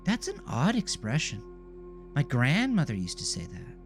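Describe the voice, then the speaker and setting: Man voicing earnest young woman, pitched well up. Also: huge pitch swings, normal speed, over music. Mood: curious concern. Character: young woman art teacher, earnest, youthfully enthusiastic, kind. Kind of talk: audio drama character dialogue.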